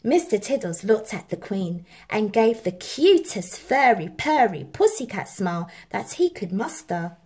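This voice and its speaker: A woman reading a children's story aloud as an expressive, measured narrator. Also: mid-range voice, slightly rough. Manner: animated, measured. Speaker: storybook reader.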